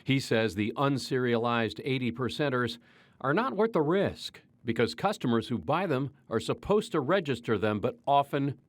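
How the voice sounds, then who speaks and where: A man's voice: medium pitch, very animated, moderate pace, dry recording. A man with an American accent reading a scripted television news report as the reporter.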